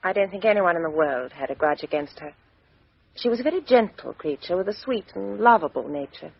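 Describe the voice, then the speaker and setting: Radio drama young woman: British, frank, self-possessed, husky, very animated intonation. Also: fairly low pitch, a little fast, no echo, full character voice. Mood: earnest. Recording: dull, lo-fi radio drama recording.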